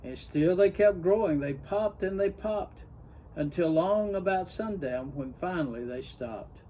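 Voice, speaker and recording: A man reading a children's story aloud in an expressive storybook narration style. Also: high voice, measured pace, a little hiss or hum. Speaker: story reader.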